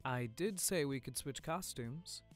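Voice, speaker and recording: A man's voice: mid-range voice, measured pace, no room sound, faint music behind, lightly acted. Easygoing boyfriend at a party, audio drama, male actor, natural delivery, very dry recording. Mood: friendly. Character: easygoing, good-humoured boyfriend at a costume party.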